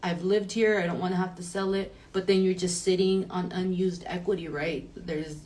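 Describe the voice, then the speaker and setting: A woman's voice: lowish voice, steady tone, normal speed, darkish tone. A woman real estate agent hosting a casual chat, prompting her guest with questions and brief affirmations.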